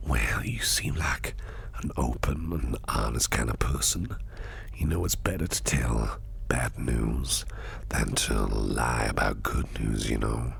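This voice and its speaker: A man playing a shady, intimidating and flirtatious company boss in an ASMR roleplay, teasing and insinuating, in a deep gravelly voice that often sinks to a breathy near-whisper. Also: fairly bright tone, heavily acted. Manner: slow, insinuating, smooth-talking, teasing. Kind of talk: ASMR roleplay, close personal address.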